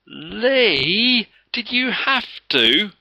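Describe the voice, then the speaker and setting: A stern male schoolteacher, acted by a man reading a storybook, easily exasperated. The voice is raised high and very forceful, with big swoops. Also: very animated, extremely slow, vocal fry, no room sound, heavily acted. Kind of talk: children's story read aloud.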